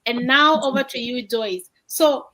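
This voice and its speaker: A woman from Kenya hosts a video-call livestream with an upbeat, welcoming manner. Her voice is fairly high and quite bright, and she talks at home at night. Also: measured pace, rough recording. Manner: upbeat, welcoming, hands over between guests. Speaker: livestream host. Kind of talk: motivational livestream talk.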